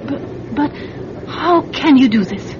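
A woman's voice: sits high, lively intonation, slow pace. A woman playing an anxious, vulnerable mother forced into spying in a radio drama, hesitant and fretful. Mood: distressed. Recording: dull radio recording with background noise.

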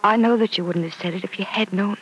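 An actress playing a gentle, heartbroken young woman, speaking in a subdued, hesitant way in an old-time radio serial. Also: medium pitch, fast talker, natural voice, rough recording. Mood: gentle, sad. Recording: old radio broadcast, faint hiss.

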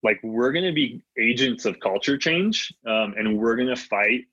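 A man's voice: medium pitch, lively intonation, moderate pace, pushed and bright, breathy edge, dry recording, lo-fi sound. A man from Michigan whose first language is Latvian, talking casually as an interview guest with many ums and restarts. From Michigan, USA. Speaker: guest, hunting brand co-founder.

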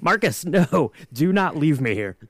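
A man's voice: on the high side, big pitch swings, unhurried, heavy background noise. A man co-hosting a podcast in casual, joking chat. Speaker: podcast co-host.

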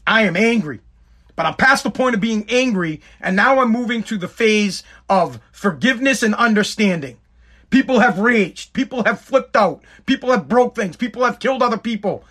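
A man delivers a fervent, expletive-laced monologue straight to his audience. His voice sits high and bright. He leans on repetition to drive each point home. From United States. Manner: emphatic, profane, repetitive. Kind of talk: impassioned monologue to viewers.

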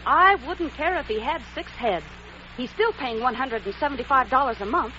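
Spunky jobless young typist, brisk and eager, high and lively, faint hiss on lo-fi vintage radio. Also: female voice. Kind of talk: 1940s radio acting. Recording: dull old radio recording, hiss.

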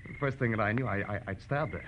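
An actor playing a menacing, insistent blackmailer in a 1940s radio crime drama, pressing and taunting, sometimes repeating words hesitantly. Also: male voice, on the low side, natural voice. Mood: agitated. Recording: muffled, noisy old radio recording.

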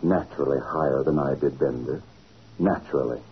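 Smug, deep-voiced crooked boss. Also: male voice, a little fast, breathy edge, heavily acted. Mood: smug, sly. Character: smug crooked building contractor, sly male boss. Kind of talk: 1940s radio acting. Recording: dull, noisy old radio recording.

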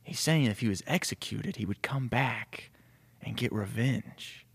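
A man voicing a grizzled, stressed vampire police chief in a slow, grave, hushed, deep and gravelly voice. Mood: grave, worried. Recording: reverberant room.

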